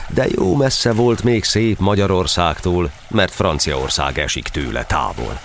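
A man's voice: low voice, lively intonation. A man narrating a verse tale for an audio drama in a theatrical, declamatory storytelling style. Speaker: narrator and actor. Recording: faint noise, sound-effect ambience behind.

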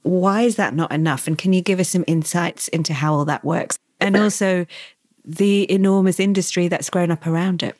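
A woman's voice: on the low side, steady tone, moderate pace, darkish tone, no echo, clean studio sound. A woman hosting an interview, asking engaged, probing questions. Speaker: interview host.

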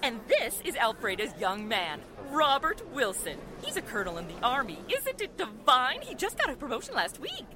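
A woman's voice: on the high side, huge pitch swings, moderate pace, hardly any pauses, a crowd in the background. A woman playing a middle-aged, scatterbrained society mother with an effusive, theatrical, chattering manner in a 1940s-style radio drama. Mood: fussy, gushing.

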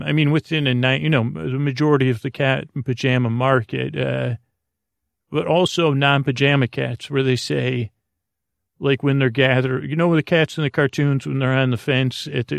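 A man hosting a podcast, talking in a halting, meandering way in a rambling, comedic bedtime monologue with a creaky, gravelly voice. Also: medium pitch, dry recording, studio quality. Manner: meandering, halting, absurd tangents told conversationally. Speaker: podcast host.

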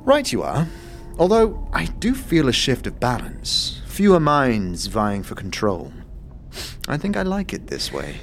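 A man playing an eccentric, zealous disciple of a portal god in a fantasy audio drama, theatrical and halting, by turns grand and flustered. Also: mid-range voice, huge pitch swings, vocal fry, faint music behind, full character voice. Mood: pleased, musing.

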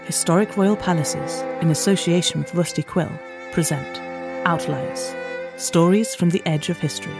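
A woman's voice: on the low side, moderate pace. Woman announcing a trailer over strong music. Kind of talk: scripted trailer narration. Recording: prominent string music behind.

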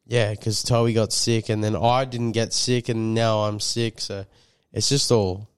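A man's voice: fairly low pitch, little pitch movement, measured pace, clear, resonant tone, no room sound, studio quality. A man co-hosting a podcast, a bit nasal from a cold, rambling with lots of fillers and swearing. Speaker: podcast co-host. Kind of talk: casual chat.

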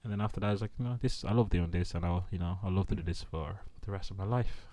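A man's voice: deep voice, quick pace, mellow. A man from the Congo, a band member being interviewed, talking casually and rambling with many fillers.

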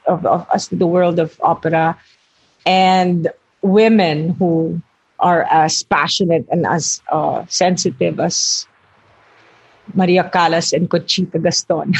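A woman's voice: lowish voice, fairly even intonation, unhurried, husky, rough recording. An older woman, an actress talking earnestly about her craft in an interview and often correcting herself mid-thought. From Philippines. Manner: earnest, searching for words, self-correcting. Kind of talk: reflective interview talk.

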